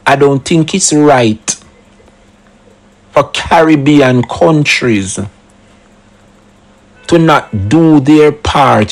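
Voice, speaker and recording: Slow, emphatic man. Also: fairly high pitch. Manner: rhetorical questions, emphatic patois asides. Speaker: commentary channel host. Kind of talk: opinionated solo commentary.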